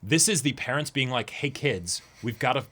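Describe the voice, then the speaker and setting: Young man with a bright, forceful voice. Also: mid-range voice, lively intonation, normal speed. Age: young adult. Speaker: co-host of an anime reaction show. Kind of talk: casual chat. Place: small indoor room, seated before a backdrop.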